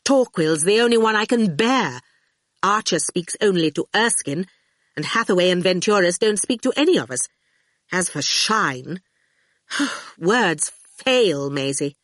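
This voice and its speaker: A haughty, ageless beauty who rules her town voiced by a woman audiobook reader. She sounds gracious on top and cold underneath, with lively swings of pitch in a dry recording. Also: unhurried, no room sound. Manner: pouting, cold then gracious. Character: haughty, glamorous, ageless woman ruler, sweetly condescending, icily angry. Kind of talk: audiobook character voice.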